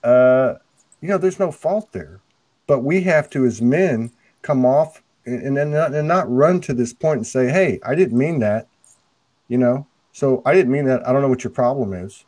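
A middle-aged man with a loud, carrying voice talking earnestly on a video call, full of restarts, through an earphone mic at home. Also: medium pitch, no echo. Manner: earnest, halting, many restarts. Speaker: host. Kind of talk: spiritual video call conversation. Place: home room, indoors. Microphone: earphone headset mic on a video call.

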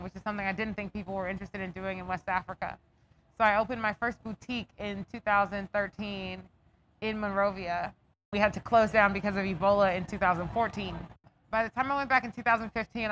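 A woman's voice: mid-range voice, fairly bright tone, low-quality recording. American woman speaking over background noise. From raised outside Atlanta, United States. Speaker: fashion business owner. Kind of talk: casual documentary interview. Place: inside a clothing shop. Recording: noticeable background ambient noise.

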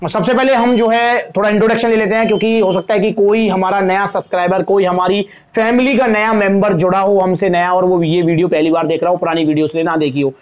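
A man with a high, light voice and an Indian Hindi accent talks his viewers through a protein product. He is chatty and friendly, calls them friends, and stops now and then on small fillers as he reads off the label. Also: fairly even intonation, fast talker, hardly any pauses. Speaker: supplement reviewer, channel host. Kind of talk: product review talk to camera.

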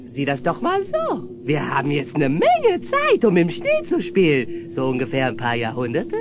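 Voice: medium pitch, huge pitch swings, moderate pace. A voice actor playing a good-natured, dim-witted male mechanic in a dubbed cartoon radio play. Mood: goofy.

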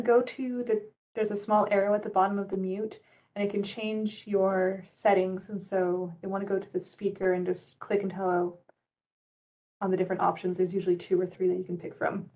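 A woman's voice: medium pitch, little pitch movement, normal speed, a little mellow, highs cut off. A woman in her thirties hosting a library event, patiently walking a guest through audio settings on a video call over a headset. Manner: patient, helpful, coaxing. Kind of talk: video call tech support chat. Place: indoor studio with a library banner backdrop.

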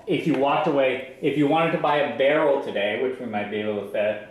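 A young man giving an informal farm tour, explaining as he goes with halting, repeated starts. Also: medium pitch. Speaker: maple farmer giving a tour. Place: large farm sugarhouse and tasting room. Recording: echoey farm building, some background noise.

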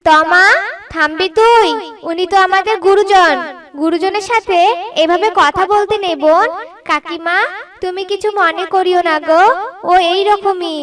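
A woman's voice: very high voice, steady tone, a little slow, long pauses, crowd noise. A woman voicing a dutiful young elder sister, polite but firmly chiding, in a cartoon story. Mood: chiding, stern. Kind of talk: Bengali cartoon story acting.

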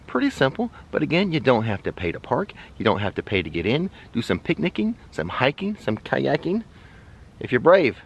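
A man in his forties with an American accent, chatting upbeat to viewers as he kayaks and tours a riverside park, filming himself at arm's length outdoors. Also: mid-range voice. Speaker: travel vlogger. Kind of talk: casual travel vlog narration. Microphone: camera held at arm's length.

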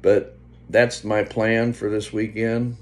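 A man in his fifties chatting casually to a phone at home, unhurried and meandering, often trailing off. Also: lowish voice, little pitch movement. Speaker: vlogger. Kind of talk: casual rambling vlog chat. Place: indoors, small room at home. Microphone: phone at arm's length. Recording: faint background hum on phone recording.

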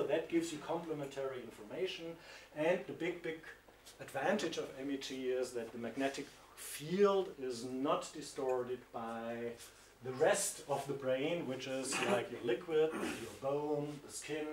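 A man's voice: fairly high pitch, darkish tone, a little hiss or hum. German-accented man lecturing in echoey room. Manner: explanatory, pointing at slides, gestures while speaking. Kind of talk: university lecture. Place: seminar room with projector. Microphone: distant room mic. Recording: echoey room, speaker far from mic.

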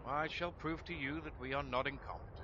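A man's voice: on the high side, a little fast, pushed and bright. A man playing a game, giving short spoken commands and questions to a computer. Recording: dull, noisy gameplay recording.

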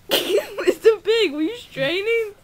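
Very high-pitched, coaxing puppet-show friend performer acting. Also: lively intonation, slow pace, a bit bright, full character voice. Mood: amused. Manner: coaxing, placating. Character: patient, polite friend Mario, amused sidekick leader.

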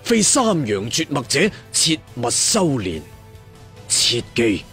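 A man's voice: medium pitch, huge pitch swings, fairly bright tone, full character voice. A man voicing a proud, aloof ancient cultivation master in a Cantonese audio story, solemn and declamatory, drawing out his phrases. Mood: earnest. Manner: slow, drawn-out, declamatory. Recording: music behind the voice.

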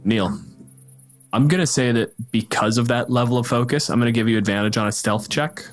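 A man's voice: medium pitch, fairly quick. A man running a tabletop role-playing game as game master, narrating with descriptive, dramatic phrasing. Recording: music bed under the voice. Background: background music.

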